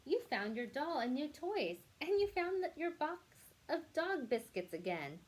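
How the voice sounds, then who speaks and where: A woman playing a sweet young girl in a children's storybook, coaxing and gently scolding her new puppy. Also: high-pitched, very animated, unhurried. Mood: fond, curious.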